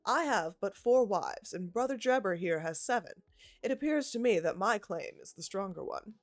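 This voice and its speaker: Brief: boastful pious young man, read by a woman, raised loud pitch, dry read. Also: animated, moderate pace, dry recording, faint music behind, natural voice. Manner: boastful, solemn, then threatening.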